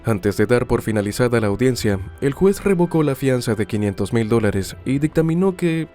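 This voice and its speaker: A man narrating a scripted true-crime documentary in a formal, measured storytelling manner. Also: medium pitch, animated, dark tone, soft background music.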